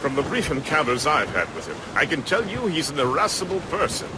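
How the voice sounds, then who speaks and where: An actor playing a loyal, jovial first mate with a florid, wry, theatrical way of talking in a period game. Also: male voice, fairly high pitch, wildly swooping pitch, brisk. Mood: genial. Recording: waves and ship noise behind voice.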